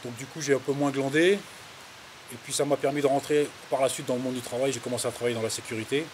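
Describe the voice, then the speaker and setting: A man's voice: mid-range voice, fairly even intonation, quick pace. A man in his fifties from the Paris suburbs, casually recounting his life in a hesitant, rambling, colloquial way. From Paris suburbs, France. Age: 50s. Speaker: interviewee, farmer. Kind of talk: casual autobiographical interview, recounting anecdotes. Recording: clear background hiss.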